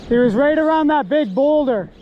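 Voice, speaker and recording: A young man fly fishing outdoors while wading in a river, excitedly commenting on each catch into a body-worn action camera. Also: very high-pitched, normal speed, dull audio, a little hiss or hum. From Canada. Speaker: fly-fishing angler and host. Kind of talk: casual outdoor vlog commentary.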